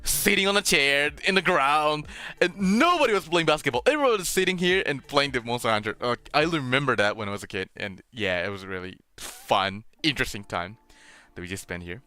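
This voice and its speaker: Bright, lively man chatting haltingly. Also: mid-range voice, clear, resonant tone. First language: Japanese. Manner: halting, searching for words. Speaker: host of a streamed radio show. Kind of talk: casual chat, switching between English and Spanish. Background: music.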